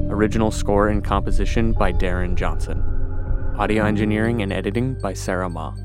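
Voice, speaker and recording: An American man reading scripted podcast credits as an announcer. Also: lowish voice. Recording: music bed under voice.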